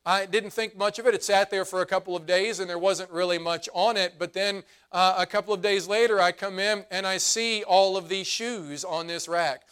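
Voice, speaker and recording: A man preaching a sermon as pastor, his voice hoarse and fading, earnest, slowing down for emphasis. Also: high-pitched, fairly even intonation, fairly bright tone. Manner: earnest preaching, slows and drops to emphasize points.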